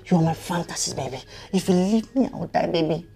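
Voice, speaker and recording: A woman playing a smitten husband who stammers and begs softly, over music in a comedy skit. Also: on the low side, expressive, fast, gravelly. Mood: begging. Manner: soft, stammering, begging. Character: pleading smitten husband, man, grown.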